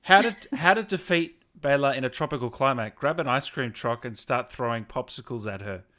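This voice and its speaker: A man's voice: mid-range voice, fairly quick, bright, forceful tone. A man hosting a casual interview, chatting and relaying questions from viewers. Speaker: host, interviewer. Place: remote video call.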